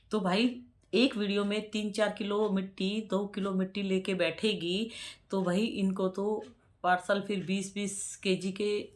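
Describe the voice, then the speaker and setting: A woman in her forties talks straight to her subscribers in a loose, chatty way. She leans into repeated words to drive her point home and slips into a preachy tone. Her voice sits at a medium pitch. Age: 40s. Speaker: vlogger. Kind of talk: casual commentary to subscribers.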